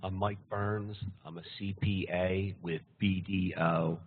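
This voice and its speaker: A man's voice: low-pitched, steady tone, slow pace, a little hiss or hum. An older man, an accountant, speaking on a business panel in a dry, joking way. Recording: tinny, lo-fi.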